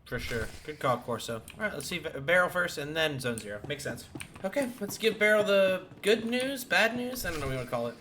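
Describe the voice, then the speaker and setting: A man's voice: a little high, expressive, a little fast, pushed and bright. A man giving casual, offhand commentary while playing through a video game. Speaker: gaming streamer. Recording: clear noise and keyboard typing behind. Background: keyboard typing.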